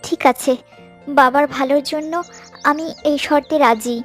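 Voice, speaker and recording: A woman voicing a gentle, obedient, mistreated young daughter in an animated fairy-tale cartoon, speaking earnestly. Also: high voice, moderate pace, faint music behind, natural voice. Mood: sorrowful, resigned. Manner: pleading, earnest.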